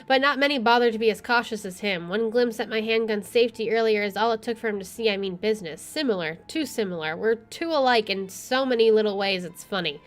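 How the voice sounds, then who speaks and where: A woman's voice: a little high, fast, few pauses. A woman streamer reading a visual novel aloud and adding casual commentary. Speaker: let's play streamer. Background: faint game music.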